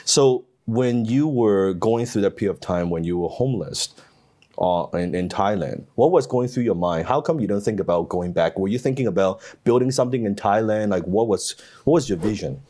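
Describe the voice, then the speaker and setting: A middle-aged man hosting an interview, soft-spoken, murmuring along as he listens. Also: fairly low pitch, expressive, normal speed. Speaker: host.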